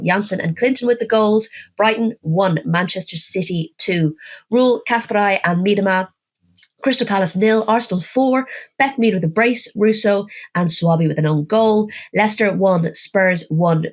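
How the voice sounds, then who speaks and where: A woman, co-hosting a casual football podcast with a bunged-up, nasal, croaky voice, chatty and self-mocking as she runs through the scores. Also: medium pitch. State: congested, croaky voice. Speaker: podcast co-host.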